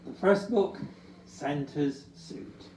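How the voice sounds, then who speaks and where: A storyteller reading a children's storybook aloud in an animated, deliberate, expressive way. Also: mid-range voice, a little hiss or hum. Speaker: storytime reader. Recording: echoey room, distant from mic.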